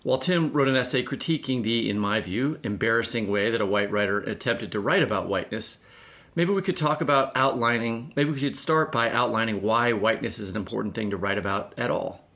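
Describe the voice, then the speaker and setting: A man's voice: on the low side, a little fast, a bit bright. A man hosting a discussion podcast, introducing guests and posing questions in a presenter's style. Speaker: podcast co-host.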